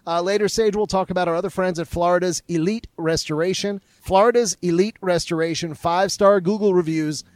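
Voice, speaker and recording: Co-host, male, high-ish voice, brisk salesy live reads, dry booth sound. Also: fairly even intonation, no echo. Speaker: co-host reading sponsor ads. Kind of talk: sports radio banter with live reads.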